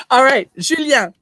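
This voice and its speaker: A woman's voice: high-pitched, pushed and bright, dry recording, rough recording. An American woman hosting an interview in a casual, playful, chatty style. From United States. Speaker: show host.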